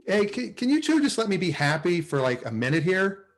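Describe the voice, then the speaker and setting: A man acting a flustered, smitten office salesman in a sitcom table read, with a halting, excitable delivery. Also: high voice. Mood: exasperated. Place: video call at a table read.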